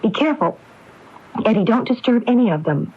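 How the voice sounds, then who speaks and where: An actress playing a resolute, determined woman in a 1980s radio mystery, speaking insistently and deliberately. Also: fairly high pitch, big pitch swings, a little hiss or hum. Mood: sharp warning. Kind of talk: 1980s radio drama acting. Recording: dull, muffled AM radio recording.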